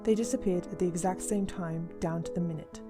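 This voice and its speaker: A serious mystery-show host played by a woman, with a fairly low voice. She narrates in a measured, ominous way over prominent music. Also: close to an everyday voice. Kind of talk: scripted narration in a radio drama. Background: music under the voice.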